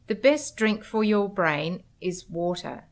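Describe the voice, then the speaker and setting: A woman's voice: medium pitch, expressive, slow pace, very noisy. Woman narrating for young children. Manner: simple, clearly instructive phrasing. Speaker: narrator of children's educational material. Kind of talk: scripted educational narration for preschoolers.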